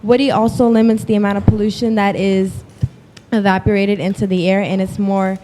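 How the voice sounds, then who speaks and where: A young woman from New York presents her team's robot at an exhibition. Her delivery is earnest and partly rehearsed, and her voice sits at an ordinary pitch. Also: little pitch movement, brisk, warm tone, a little hiss or hum. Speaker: student presenter. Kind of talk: presenting a project.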